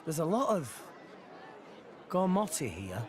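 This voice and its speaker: A man's voice: on the high side, sing-song, slow, a faint crowd, slight background noise. An actor voicing an earnest, easygoing boy hero with a sincere, casual manner in a video game cutscene. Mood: curious, easygoing.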